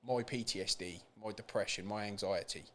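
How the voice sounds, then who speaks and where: A man's voice: lowish voice, fairly quick, bright, forceful tone, slight background noise. A British man speaking as a podcast guest in a candid personal interview, earnest and emphatic, repeating phrases for weight. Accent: British English. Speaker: podcast guest, support group founder.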